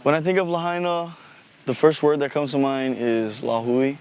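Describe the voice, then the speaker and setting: A man's voice: medium pitch, steady tone, normal speed, darkish tone, clear, resonant tone. Sincere teenage boy from Hawaii. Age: high school student. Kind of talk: documentary interview.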